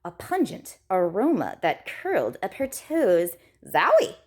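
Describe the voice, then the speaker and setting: Very animated woman reading a storybook. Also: lowish voice, a little slow. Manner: dramatic, emphatic. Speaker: children's read-aloud storyteller. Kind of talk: expressive rhyming picture-book narration.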